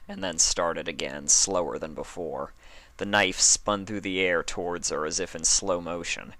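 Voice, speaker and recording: Deep-voiced man narrating, bright and dry. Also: no echo. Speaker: fan fiction reader. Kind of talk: dramatic story narration.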